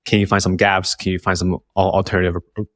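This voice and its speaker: A man's voice: deep voice, flat delivery, fast, no echo, studio quality. A man hosting a podcast in a casual, conversational way, thinking aloud as he talks. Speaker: podcast host, interviewer. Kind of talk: casual chat.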